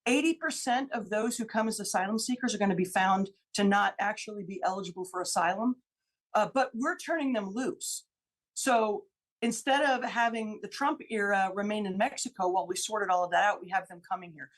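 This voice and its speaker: A woman's voice: mid-range voice, a little fast, poor audio. A woman who is a state senator, arguing her case emphatically on a radio interview and repeating key numbers for stress. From Illinois. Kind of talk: radio interview, political argument.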